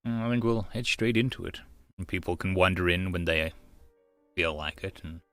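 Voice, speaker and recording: A man with a fairly low voice talks casually as a streamer reacting to a visual novel. The sound is dry and close, with hardly any room to it. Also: moderate pace, fairly bright tone, dry recording, soft background music. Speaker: streamer and audiobook narrator.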